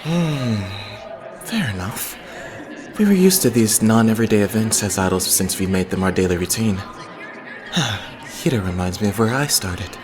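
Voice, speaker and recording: A man voicing a composed, teasing young male idol mentor in an anime game fan dub, calm and polite. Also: on the low side, normal speed, a crowd in the background, natural voice.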